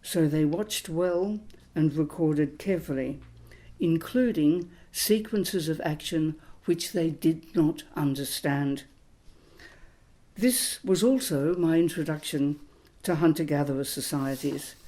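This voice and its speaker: Older woman, mellow reflective lecture voice. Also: deep voice, rough recording. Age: 80s. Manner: measured, literary. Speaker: historian giving a recorded talk.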